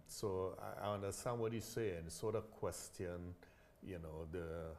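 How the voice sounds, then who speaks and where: Male host, middle-aged, from Trinidad, low register; friendly, encouraging, quick to laugh. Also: darkish tone, slight background noise. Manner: laughs often, encouraging backchannels. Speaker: talk show host. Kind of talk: community TV interview.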